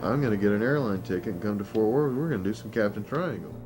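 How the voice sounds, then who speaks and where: A man's voice: fairly low pitch, lively intonation, fast. A man telling a casual anecdote in an interview, acting out both sides of remembered conversations. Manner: acts out remembered dialogue. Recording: music clearly behind the voice.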